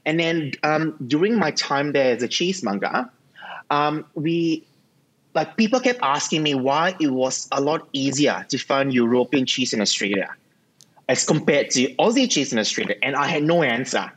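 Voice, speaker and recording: Relaxed man from Singapore, halting. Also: fairly high pitch, husky, low-quality recording. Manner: relaxed, halting with many ums, self-deprecating. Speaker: cheesemonger guest. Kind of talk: casual interview chat.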